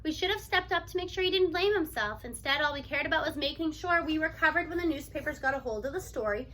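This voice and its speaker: A woman's voice: high-pitched, lively intonation, quick pace, fairly bright tone. A woman voicing a sympathetic, loyal female school principal in a storybook, earnest and persuasive.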